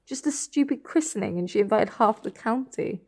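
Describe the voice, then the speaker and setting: An actress playing a dry, concerned sister in a naturalistic audio drama, with a wry, conversational delivery. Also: female voice, medium pitch, animated, moderate pace, a little mellow. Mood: amused. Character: dry, concerned adult sister keeping vigil.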